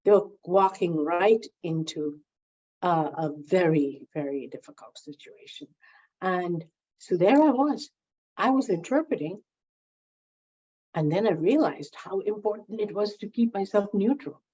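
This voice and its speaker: Low-voiced, mellow woman storyteller. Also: a little slow, slightly rough. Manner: animated storyteller, repeats words while gathering thoughts. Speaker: interviewee, founding member recalling early days. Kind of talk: reflective storytelling interview. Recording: slightly dull lo-fi sound.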